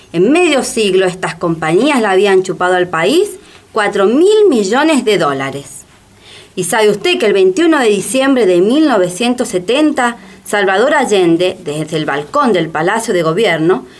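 A woman's voice: medium pitch, natural voice. A woman playing an impassioned, well-informed denouncer in radio drama dialogue, emphatic and rhetorical.

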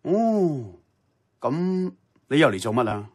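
An actor playing a blunt, seasoned radio station boss in a Cantonese radio drama, middle-aged, with a Hong Kong accent and a dry, challenging manner. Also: male voice, fairly high pitch, very animated, takes it slowly, darkish tone, husky, exaggerated voice.

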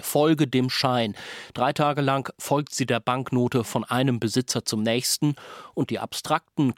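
A man presents a radio programme from a script. His pitch sits in the middle of the male range. The sound is dry, with hardly any room around the voice. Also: dry recording. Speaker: radio presenter introducing a radio play. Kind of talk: scripted narration.

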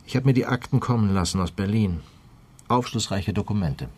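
Low-voiced, probing police detective. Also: male voice, a little mellow, breathy edge. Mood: grimly insistent. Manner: pointed, deliberate, insistent questioning. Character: shrewd, probing male police detective pressing a suspect. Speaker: actor in a radio crime drama. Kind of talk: German radio play dialogue.

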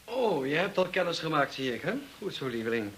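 An actor playing a self-assured, affectionate gentleman husband in a radio drama, talking in a brisk, breezy and familiar way. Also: on the high side, sing-song, noisy background.

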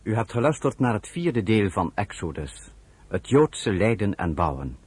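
A man announcing radio drama credits in a formal, deliberate, scripted style. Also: fairly low pitch, very animated, slightly rough.

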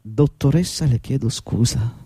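A man's voice: mid-range voice, measured pace, dark tone, slightly rough, no echo. An actor playing a middle-aged, servile and agitated long-time employee pleading with his boss in a fretful, rambling radio drama performance. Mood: flustered, apologetic. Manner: fretful, deferential, rambling and insistent. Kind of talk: theatrical radio drama acting.

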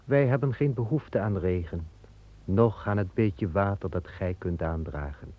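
A calm, unbending angel who keeps the garden in an old radio play. He speaks slowly in a lowish voice. The sound is narrow and tinny. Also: no echo. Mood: stern. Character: stern male angel gardener of Eden.

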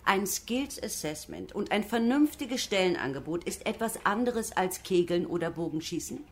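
An actress in a radio drama playing a firm, patronizing job centre manager who speaks in a measured, officious, admonishing way. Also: female voice, medium pitch, animated, a little hiss or hum, close to an everyday voice. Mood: patronizing, persuasive.

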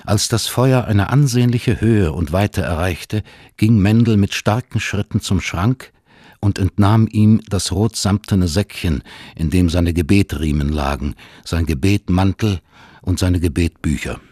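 A man with a husky voice reading scripted literary narration for a radio play. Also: sits low, a little slow, warm tone, dry recording, studio quality.

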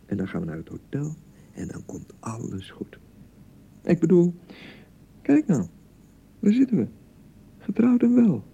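An actor with a Dutch accent playing a young newlywed husband in a radio drama, placating and easily exasperated, with a hesitant way of talking. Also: male voice, a little high, wildly swooping pitch, measured pace, gravelly, pauses often, warm tone, husky, highs cut off, heavily acted. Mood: insistent, nervous. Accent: Dutch (Netherlands). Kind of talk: naturalistic radio drama acting.

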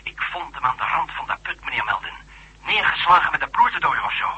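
A man's voice: a little high, very animated, a little fast, bright, forceful tone, husky, exaggerated voice, rough recording. A man playing a worried small-town sheriff on the telephone in a radio drama, speaking hesitantly and repeating himself. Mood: alarmed, uneasy.

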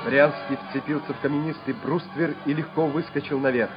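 A man's voice: on the high side, steady tone, a little fast. A man narrating a literary radio play in a dramatic storytelling style. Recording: dull lo-fi radio recording over music.

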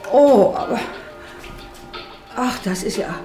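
A woman's voice: on the high side, expressive, takes it slowly. A woman playing a flustered, squeamish shopper in a radio drama, hesitant and exclamatory. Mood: appalled, flustered. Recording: roomy shop acoustic, music behind.

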